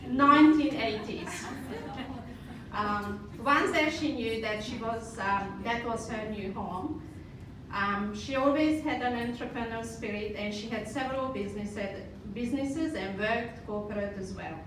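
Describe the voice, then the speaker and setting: A woman with a Hungarian accent introduces a speaker, reading in a halting, stumbling way. Her voice carries a heavy echo and sits away from the microphone. Clear noise runs through the recording. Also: a little high. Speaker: event host introducing a speaker. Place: roomy live event venue. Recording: echoey, distant, noisy room recording.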